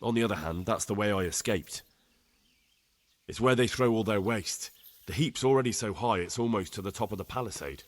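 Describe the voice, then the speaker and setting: A man acts a young scout in a medieval game drama. His voice sits fairly low, and he reports plainly and respectfully, in measured phrases. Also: close to an everyday voice. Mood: matter-of-fact. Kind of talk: dramatic video game cutscene acting.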